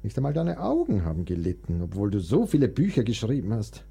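An actor playing a touchy, indignant, melancholic composer in a historical radio play, theatrical and aggrieved. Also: male voice, fairly low pitch, huge pitch swings, a little fast, gravelly, warm tone, husky, exaggerated voice. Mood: defensive. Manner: theatrical, aggrieved, swinging between outbursts and sulky asides. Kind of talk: radio play dialogue, dramatic monologue.

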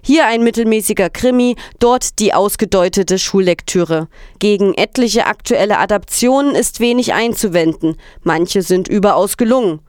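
Clear-voiced woman reading news formally. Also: medium pitch, normal speed, darkish tone, no echo, studio quality. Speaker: reader of quoted articles and statements.

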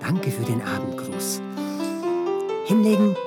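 A woman hosting a bedtime show for children, opening and closing it in a slow, soothing way. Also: rumbling low, sing-song. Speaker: show host. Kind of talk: bedtime show intro and outro. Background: piano music.

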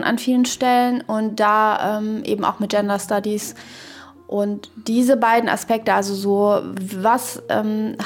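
A woman co-hosting a podcast, speaking casually and reflectively, haltingly thinking aloud in academic vocabulary. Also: medium pitch. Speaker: podcast co-host.

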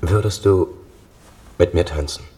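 A man's voice: deep voice, a little slow, mellow. A man playing a solemn suitor in a dramatic radio station jingle. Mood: seductive, solemn. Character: man wooing someone to dance before revolution.

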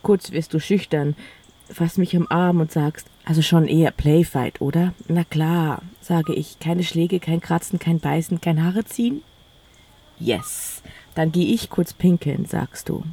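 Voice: medium pitch, a little slow, dark tone, slightly rough. A narrator telling a first-person audio story in the present tense, weaving quoted dialogue into the narration.

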